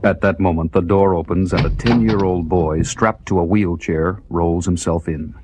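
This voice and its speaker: A man's voice: sits low, steady tone, normal speed, noisy background. A man reading dramatic scripted narration as the narrator of a story. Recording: very loud music under the voice.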